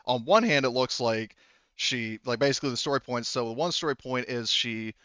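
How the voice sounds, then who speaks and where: A man's voice: medium pitch, fairly bright tone, smooth, dry recording. Man game master, dull dry recording. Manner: halting, explanatory, often rephrasing. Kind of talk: casual tabletop game narration.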